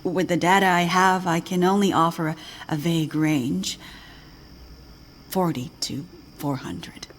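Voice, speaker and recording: Over clear ambient noise, an actress plays an older woman scientist with a lowish voice. She is grave and measured, delivering solemn warnings in a video game drama. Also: steady tone, lightly acted. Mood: grave, foreboding. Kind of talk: video game cutscene acting.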